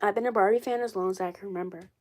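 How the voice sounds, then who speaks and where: A woman's voice: medium pitch, steady tone, very fast, darkish tone. Froggy-voiced young woman with a cold. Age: 20s. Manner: rambling, repeats herself, defensive. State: hoarse with a cold. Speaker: doll collector livestreaming. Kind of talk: casual livestream chat.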